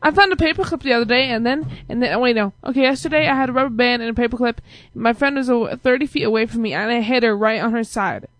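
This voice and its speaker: A woman's voice: fairly high pitch, fast talker, few pauses, fairly bright tone, no room sound. A young woman hosting an online talk stream, rambling through silly stories with lots of giggling. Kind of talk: rambling casual chat.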